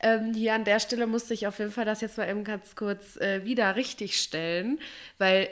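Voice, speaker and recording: A woman's voice: medium pitch, fairly even intonation, moderate pace. A woman chatting casually and emphatically as a podcast co-host, a little stuffy from a cold.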